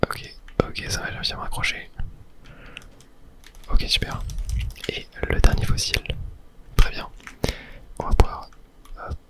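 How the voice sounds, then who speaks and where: A man whispering casual ASMR gameplay commentary close to the microphone, breathy and muttering to himself and viewers. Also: a little slow, long pauses, fairly bright tone, low-quality recording. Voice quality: whispered, breathy, mostly unvoiced. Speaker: game streamer, ASMR video host.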